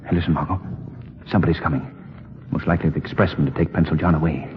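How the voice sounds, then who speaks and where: Gentleman detective, low voice, assured and matter-of-fact, muffled old radio drama. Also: steady tone, very fast. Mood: composed. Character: composed, shrewd gentleman sleuth. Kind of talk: 1940s radio acting. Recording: muffled, noisy 1940s radio recording.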